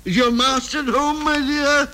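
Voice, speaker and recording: High, bright, excitable old miser. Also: male voice, moderate pace. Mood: jubilant. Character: reformed old miser, gleeful, big-hearted, playfully gruff. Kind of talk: old-time radio drama acting. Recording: vintage radio broadcast recording.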